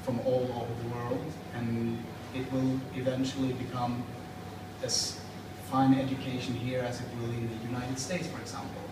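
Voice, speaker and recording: A man's voice: medium pitch, completely flat delivery, normal speed. A man in his thirties from Sweden, a native Swedish speaker, answering interview questions in a thoughtful, hesitant way, sitting in a school corridor. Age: 30s. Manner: thoughtful, hesitant with fillers and restarts. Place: school corridor with plastic chairs. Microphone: far camera. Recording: echoey hallway from far off, noisy.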